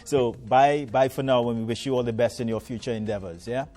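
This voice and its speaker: A man's voice: medium pitch, fairly even intonation, brisk, mellow. A man hosting a morning TV show who interviews a guest with friendly, encouraging, folksy questions. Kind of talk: live TV interview.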